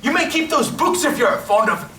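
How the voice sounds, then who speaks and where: Someone playing bright, forceful thug, echoey. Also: high voice, very animated, moderate pace, heavily acted. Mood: threatening. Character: brutish, snarling, threatening robber. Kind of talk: amateur stage radio play acting. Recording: echoey hall from far off, faint noise.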